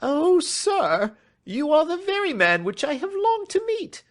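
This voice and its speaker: A man voicing a nervous, frightened little man in an audiobook, pleading in a thin, high, quivering voice. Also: sing-song, a little slow, gravelly, fairly bright tone, exaggerated voice. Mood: anxious. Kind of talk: audiobook reading.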